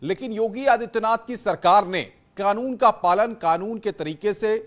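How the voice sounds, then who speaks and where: A man's voice: high-pitched, normal speed. A man anchoring a TV news debate, insistent and repeating his points as he moderates.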